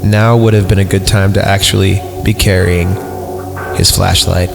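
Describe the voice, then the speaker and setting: A man's voice: low-pitched, little pitch movement, normal speed, gravelly, music in the background. A man hosting a podcast, reading a horror story aloud. He reads the punctuation out, stumbles over lines and breaks off into joking asides. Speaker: podcast host.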